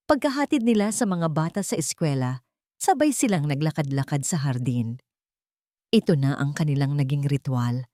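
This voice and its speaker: Female narrator, lowish voice, very lively melody, sentimental romance storytelling, dry sound. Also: no room sound.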